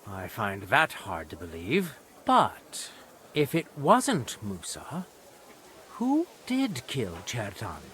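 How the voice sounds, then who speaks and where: An actor playing a stern, shrewd medieval nobleman in judgment, speaking in a measured, imperious and dryly sardonic way for a video game. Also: mid-range voice, huge pitch swings, a bit bright, slight background noise, exaggerated voice. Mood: sceptical, commanding. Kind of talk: dramatic video game acting.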